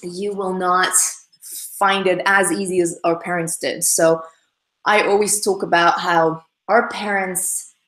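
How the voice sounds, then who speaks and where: A woman's voice: lowish voice, flat delivery, takes it slowly, a bit bright. Chatty young woman, roomy echo. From Russia. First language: Russian. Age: young adult. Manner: chatty, upbeat, many fillers and restarts. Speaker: livestream host. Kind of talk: casual livestream chat answering comments.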